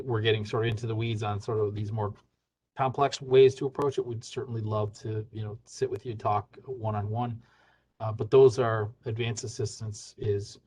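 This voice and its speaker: A man with a lowish voice explains grant details over a video call. He keeps it conversational, circling back with ums and restarts as he thinks. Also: a little mellow. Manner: conversational, many fillers and restarts. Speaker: state hazard mitigation staff presenter. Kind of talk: webinar Q&A explanation.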